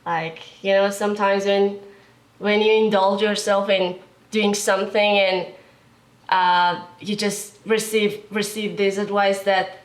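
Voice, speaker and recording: A woman's voice: medium pitch, level tone, takes it slowly, poor audio. A young woman from the Kurdistan region of Iran, speaking as a visual artist being interviewed in an art studio, hesitant and searching for words with many fillers. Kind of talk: casual interview.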